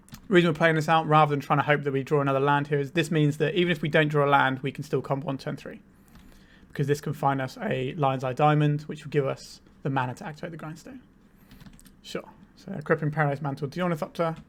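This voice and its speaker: A man's voice: a little high, fairly even intonation, quick pace. A man with a cold, a bit tired, casually explaining a card game deck and thinking aloud as he plays. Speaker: channel host, card game player. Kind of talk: casual gameplay commentary.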